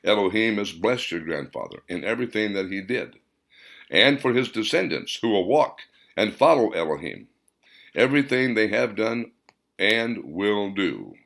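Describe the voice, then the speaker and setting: A man's voice: on the low side, animated, normal speed, gravelly, fairly bright tone. A man voicing a solemn, otherworldly male spirit in an audiobook, speaking formally and reverently. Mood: solemn greeting. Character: solemn male spirit voice inside a wave of energy.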